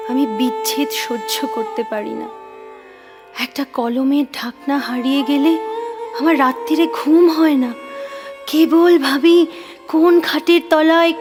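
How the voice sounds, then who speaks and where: A very high-voiced, poetic and troubled young woman in an audio drama. She speaks with brooding intensity, halting and repeating words as if fragile. Music plays clearly behind her. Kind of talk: Bengali audio drama acting.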